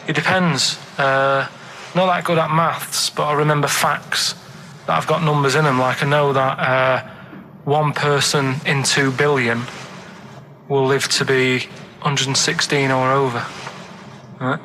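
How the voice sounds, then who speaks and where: A man chatting casually on a radio show, telling odd facts and stories in a halting, earnest, matter-of-fact way. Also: medium pitch, flat delivery, sharp tone, a little hiss or hum, low-quality recording. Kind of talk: casual rambling radio chat and anecdotes.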